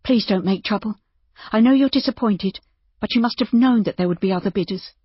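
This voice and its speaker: A narrator plays a sensible young woman who runs an inn, in an audiobook. The voice is lifted high, earnest and reassuring, with an anxious, kindly edge. The sound is close, dry and a little muffled. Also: brisk, husky, no room sound, natural voice. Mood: anxious, soothing. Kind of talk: audiobook character dialogue.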